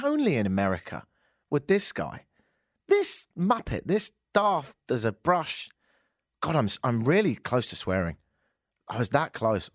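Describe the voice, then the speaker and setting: A man's voice: mid-range voice, no echo, clean studio sound. A man hosting a radio show, delivering a mocking, exasperated comic rant.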